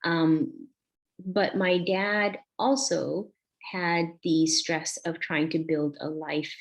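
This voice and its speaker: A woman in her forties, born in Vietnam, speaks in a low voice and takes her time. She tells a personal story to a conference audience and pauses often with soft ums. Her delivery stays slow and thoughtful. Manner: slow, reflective, with frequent ums.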